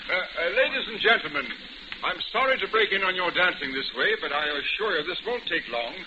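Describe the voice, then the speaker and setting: A ship's first officer in an old radio play. He pitches his voice high, formal and placating. The recording is muffled, with clear noise behind it. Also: animated, fairly quick. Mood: earnest, placating. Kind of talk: 1940s radio acting.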